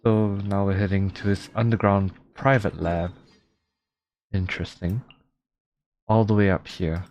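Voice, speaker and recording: A man's voice: lowish voice, fairly even intonation, a little slow, a little mellow. A man giving casual let's play commentary as a streamer, often reading game prompts aloud. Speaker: streamer playing a video game. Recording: game music behind the voice. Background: video game music.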